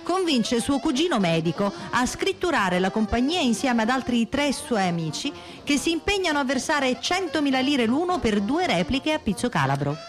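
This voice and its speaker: A woman reading scripted radio theatre narration with theatrical, measured phrasing. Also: sits high, sing-song. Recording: music under the voice. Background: music.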